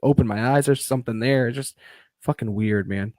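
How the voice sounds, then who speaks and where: Excitable, swearing young man, dry sound. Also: medium pitch, brisk, dry recording. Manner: excitable, swears freely, lots of restarts. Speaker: podcast co-host. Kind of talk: casual live-stream chat.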